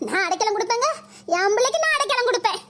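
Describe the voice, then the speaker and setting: A woman's voice: very high-pitched, fairly quick. A woman with a playing a scheming middle-aged village stepmother who wails, pleads and cries in melodramatic bursts. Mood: wailing. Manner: loud wailing, pleading, crying melodrama. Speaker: skit actor. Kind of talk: melodramatic village comedy skit.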